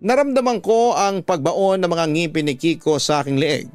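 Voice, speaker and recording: A man's voice: on the high side, dry recording. Filipino man narrating dramatically over music. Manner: slow, emotive, deliberate phrasing. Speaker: radio host and storyteller. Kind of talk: dramatic scripted story narration. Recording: music bed behind a dry studio voice.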